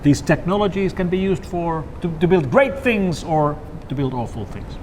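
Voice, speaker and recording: A man with a Finnish accent, a native Finnish speaker, talking as a guest in an interview, animated and musing. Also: on the high side, moderate pace, slight background noise. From Finland. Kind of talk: conversational interview.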